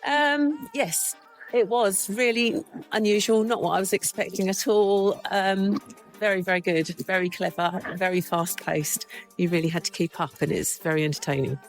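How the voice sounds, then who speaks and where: A woman chatting as the host's sister, croaky from a cold and a little hesitant, with fillers. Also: mid-range voice, fairly even intonation, moderate pace, faint music behind.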